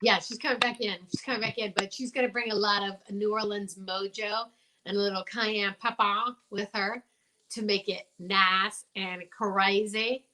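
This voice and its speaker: A woman's voice: medium pitch, steady tone, normal speed, sharp tone, a little hiss or hum, low-quality recording. A woman co-hosting a livestream with casual banter in a playful, effusive manner. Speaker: co-host of a livestream show.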